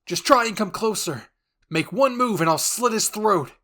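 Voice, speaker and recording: A man's voice: high voice, expressive, normal speed, bright, forceful tone, voice pushed up. A man voicing an intense, grieving young soldier who is fiercely protective, in an urgent, emotional way for a roleplay story. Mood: defiant, urgent.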